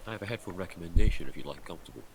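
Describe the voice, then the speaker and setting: A man co-hosting a game stream over a voice call, joking in a dry, deadpan way. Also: deep voice, rapid-fire, vocal fry, background noise. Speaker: stream co-host. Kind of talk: casual chat.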